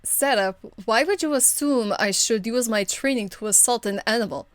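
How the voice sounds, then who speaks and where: A woman voicing a stern, dignified noblewoman warrior of faith for an amateur game voice demo, speaking in a formal, measured, earnest way. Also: on the high side, no echo, studio quality, natural voice. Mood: indignant.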